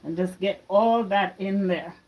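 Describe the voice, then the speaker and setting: A woman's voice: mid-range voice, measured pace, a little mellow, a little hiss or hum, low-quality recording. A woman born in Jamaica, chatting to her livestream viewers as she cooks in her home kitchen. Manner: chatty, talks to viewers while cooking. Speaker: livestream cooking host. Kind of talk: casual cooking livestream. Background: frying and stirring sounds.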